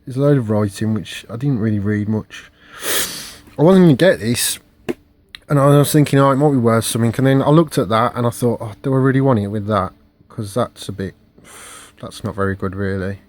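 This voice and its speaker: A man, rambling through a casual haul video with many fillers and restarts, recorded on a phone at home. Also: a little mellow. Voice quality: low voice. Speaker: video collector vlogger. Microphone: phone camera.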